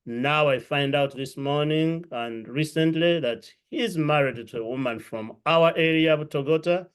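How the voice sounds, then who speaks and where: A man from Uganda hosting an interview podcast from a room at home, greeting his audience in an effusive, drawn-out way. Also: fairly high pitch, clear, resonant tone. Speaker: podcast host. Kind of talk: welcoming interview host. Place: home room with books and a clay pot.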